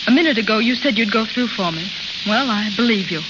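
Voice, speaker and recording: A woman's voice: a little high, expressive, quick pace, lightly acted. An actress playing a composed, earnest and firm woman private detective in a 1930s radio drama. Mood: earnest, pleading. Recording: dull, very hissy, crackling 1930s radio broadcast.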